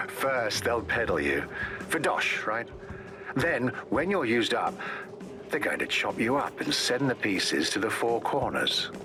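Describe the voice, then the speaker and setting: A man's voice: mid-range voice, big pitch swings, slow pace, music in the background. A man playing a threatening, gloating private-army interrogator in a video game, taunting as he goes. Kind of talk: dramatic game cutscene acting.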